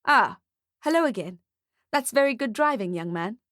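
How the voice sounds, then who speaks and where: A woman voicing a kindly man working as Father Christmas, gentle and encouraging, in an audiobook story. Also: fairly high pitch, expressive, normal speed, no room sound, studio quality.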